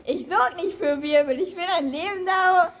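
A woman's voice: extremely high pitch, normal speed, a bit bright. A woman co-hosting a casual podcast guessing game, recorded in an amateur way with slight noise. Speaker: podcast host.

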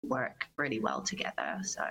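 A woman giving considered, measured answers in a conversational interview as a singer-songwriter guest. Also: a bass voice, sing-song, vocal fry, bright, forceful tone. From Australia.

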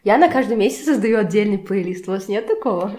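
A woman with a medium-pitched voice hosts an informal chat at home. She puts short, casual questions to the others and mixes English words into her slangy speech. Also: lively intonation, fast, a little mellow. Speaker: podcast host. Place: in a room at a home.

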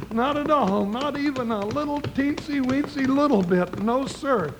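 High-voiced jittery cowboy sidekick, noisy old recording. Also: male voice, measured pace. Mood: nervous. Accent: American Western drawl. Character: jittery comic cowboy sidekick, folksy and blustering. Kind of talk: old-time radio western acting.

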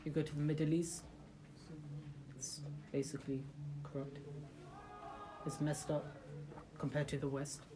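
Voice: medium pitch, little pitch movement, a little slow, long pauses, poor audio. Speaker giving an informal religious talk. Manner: rhetorical questions to the listeners, hesitant restarts. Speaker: religious talk leader for a group. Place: room with listeners present.

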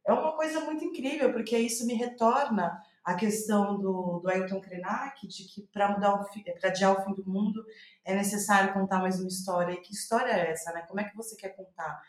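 A woman's voice: mid-range voice, a little fast. A Brazilian woman speaking reflectively as a playwright about her writing, thoughtful and searching for her words. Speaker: playwright, guest. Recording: roomy, echoing sound.

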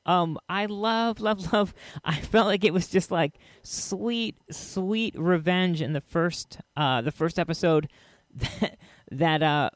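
Higher-pitched man hosting a podcast, halting and enthusiastic. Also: no room sound, clean studio sound. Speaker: podcast host. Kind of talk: casual solo podcast commentary and sign-off.

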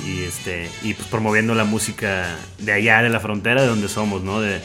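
A man's voice: on the low side, steady tone, normal speed, pushed and bright, soft background music. A man, a guest talking casually with slang and many fillers. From Reynosa, Tamaulipas. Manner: slangy, hesitant, lots of fillers. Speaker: guest, record label founder. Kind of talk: casual chat.

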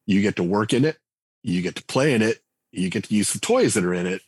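A man, a game writer and designer, chatting casually as an interview guest, relaxed and full of fillers and restarts. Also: on the low side, fast talker, husky, no echo, studio quality. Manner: relaxed, thinks aloud with many fillers and restarts.

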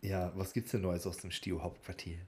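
A man's voice: deep voice, level tone, normal speed, background noise. A man co-hosting a podcast in casual banter, with a jokey, teasing way of talking. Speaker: podcast co-host.

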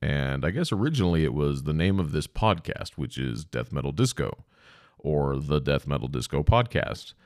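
A man's voice: very deep voice, big pitch swings, normal speed, a little mellow, no echo, clean studio sound. A congested, sniffly man hosting his own podcast, rambling casually and swearing freely. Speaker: podcast host. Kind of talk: rambling solo podcast chat.

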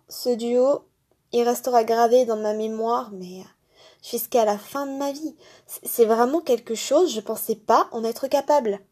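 Woman from France, podcast host, mellow voice, rambling, enthusiastic. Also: a little high, slightly rough. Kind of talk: casual spoken monologue.

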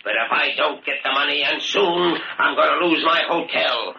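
Desperate gunman villain, creaky menacing voice, bossy, old radio show, hissy. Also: on the high side, normal speed. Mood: cold, menacing. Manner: menacing, commanding. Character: cold, desperate murderous hotel keeper holding men at gunpoint. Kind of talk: 1940s radio crime acting. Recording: noisy, muffled, echoey old radio recording.

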